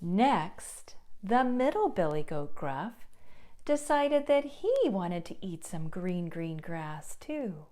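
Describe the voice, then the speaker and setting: Slow, expressive woman telling children's story. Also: lowish voice. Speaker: storyteller, puppet show performer.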